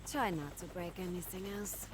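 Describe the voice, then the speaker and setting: A woman's voice: fairly low pitch, normal speed, background noise, natural voice. A woman voicing a weary, determined agent with a terse, serious delivery in a video game cutscene. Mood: weary resolve. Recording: loud music and helicopter behind.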